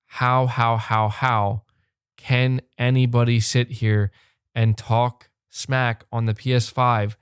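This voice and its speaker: Animated young man, close dry mic. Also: medium pitch, clear, resonant tone, no echo. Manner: fast, animated, repeats words when fired up. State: losing his voice. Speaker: podcast host reading super chats. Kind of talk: excited live-stream chat. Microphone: close desk mic.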